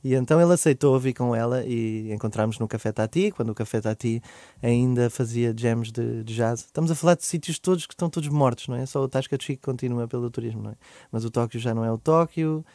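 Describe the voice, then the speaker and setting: A man in his thirties with a European Portuguese accent, a podcast guest telling a personal story in casual, lively talk that laughs, rushes ahead, then trails off softly. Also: mid-range voice, dry recording, studio quality.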